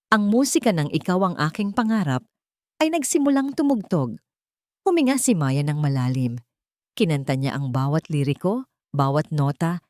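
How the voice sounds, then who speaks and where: A woman narrates an audio drama in a slightly low voice. Her delivery is scripted, dramatic storytelling. The recording is very dry, with no room sound. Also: darkish tone, dry recording.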